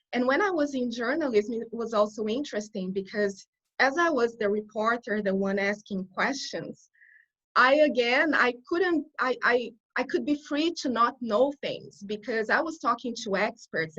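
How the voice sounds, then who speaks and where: A woman's voice: fairly high pitch, fairly bright tone, dull audio. A woman from Brazil whose first language is Portuguese, speaking English with a Brazilian accent as a guest in an interview. She talks earnestly and often restarts her phrases while she thinks. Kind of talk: conversational interview.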